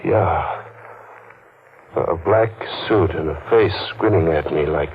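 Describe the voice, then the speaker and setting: A man's voice: extremely low pitch, slow, a little hiss or hum. An actor playing a nervous, guilty man who stammers and gets defensive, in 1940s radio acting style. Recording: tinny old radio recording with music.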